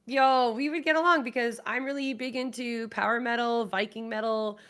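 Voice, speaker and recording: An enthusiastic woman with a fairly high voice chats as a guest on a talk show. Her delivery is informal and freely peppered with swearing. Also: steady tone, moderate pace, a bit bright. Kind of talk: casual chat.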